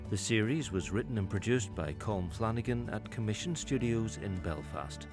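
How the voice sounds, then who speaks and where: A man's voice: on the low side, animated, moderate pace. A man reading scripted radio announcements as a programme announcer. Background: music.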